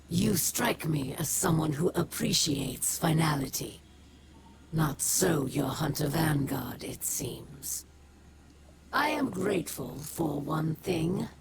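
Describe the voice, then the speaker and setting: An actress voicing a proud, bitter imprisoned alien warrior in a video game, speaking in measured, formal phrases that often sink toward a whisper. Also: female voice, sits low. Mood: grim contempt. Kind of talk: dramatic video game dialogue. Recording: loud ambient noise behind.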